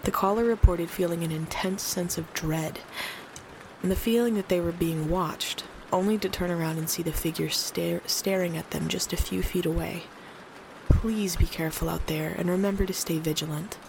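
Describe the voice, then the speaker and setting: An actress playing a calm, casual nighttime radio host with a creaky voice in an audio drama, reporting on creature sightings. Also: female voice, on the low side, normal speed, slight background noise, low-quality recording. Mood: calm concern.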